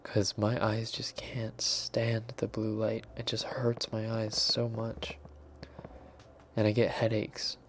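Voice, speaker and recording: A sleepy man chatting quietly on a late-night stream, drifting into whispers. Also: on the low side, monotone, slow, slight background noise. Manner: drowsy, half-whispered. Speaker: ASMR streamer.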